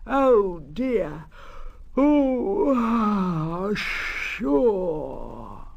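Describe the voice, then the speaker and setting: A man's voice: high voice, big pitch swings, extremely slow, gravelly, pitched above the performer's own voice, heavily acted. A man voicing a cheeky, fussy little male tank engine, crying out in a whining, panicky way. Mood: flustered. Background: background music.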